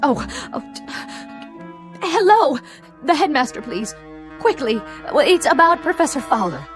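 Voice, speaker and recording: A woman's voice: high-pitched, very animated, measured pace, soft background music. A woman acting in a radio drama as a devoted, solicitous housekeeper with a tender, deferential manner. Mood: alarmed.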